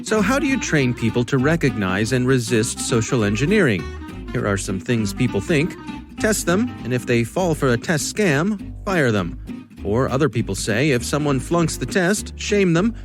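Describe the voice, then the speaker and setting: A man's voice: mid-range voice, sing-song, moderate pace, over music. An American man working as a podcast host, moving between scripted introductions and casual chat with a co-host.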